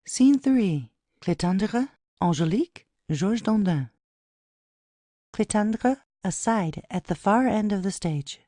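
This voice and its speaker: Slow, mellow woman narrator. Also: mid-range voice, animated, dry recording, clean studio sound. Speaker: narrator reading stage directions. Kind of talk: scripted narration.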